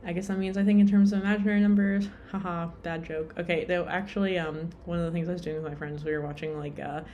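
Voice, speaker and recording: Low-voiced woman rambling casually. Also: fairly even intonation, quick pace, warm tone, muffled recording, a little hiss or hum. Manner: rambling, lots of "like" and "um", self-deprecating. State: tired. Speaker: vlogger.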